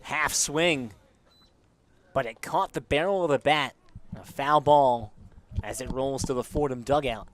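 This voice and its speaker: A man's voice: mid-range voice, unhurried, pauses often, dry recording. Male baseball play-by-play commentator. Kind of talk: live sports play-by-play commentary.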